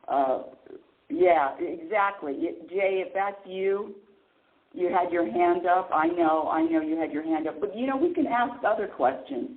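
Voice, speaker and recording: Chatty woman radio host on a tinny line. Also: sits low. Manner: chatty, rambling, restarts often, laughs easily. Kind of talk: casual live call-in radio talk. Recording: echoey, tinny phone-line sound.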